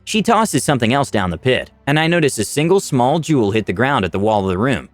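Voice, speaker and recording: A man's voice: mid-range voice, big pitch swings, fast, dry recording. A man narrating a scripted fantasy adventure story in the first person, with dramatic delivery. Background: music.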